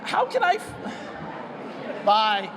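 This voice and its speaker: A man's voice: high-pitched, wildly swooping pitch, drawn-out words, a crowd in the background. A man emceeing a school ceremony, upbeat and loose, with fillers as he introduces speakers and thanks people. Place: large hall at a school ceremony. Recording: reverberant hall with background noise.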